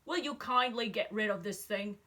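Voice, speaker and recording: A woman voicing bullying jungle monkeys in a storybook, shouting with scorn. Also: medium pitch, normal speed, natural voice. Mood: scornful.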